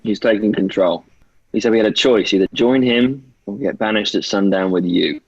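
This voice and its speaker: An actor playing a serious, loyal young friend in a table read, husky and measured. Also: male voice, on the low side, darkish tone, no echo. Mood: worried. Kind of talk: actor's table read over video call. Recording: dull, dry video call audio.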